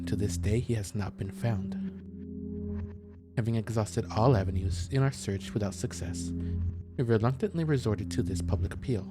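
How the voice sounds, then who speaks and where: A man playing a game as a streamer, talking through it aloud in let's play style. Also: lowish voice. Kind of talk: casual let's play commentary. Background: game music.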